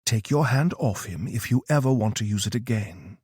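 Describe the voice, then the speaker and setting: A British woman with a low, confident tone who threatens without raising her voice, as a male narrator puts her on. She is calm and cold. The recording is dry and close. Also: moderate pace, husky, no echo. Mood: menacing. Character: British woman, low, quietly menacing guard.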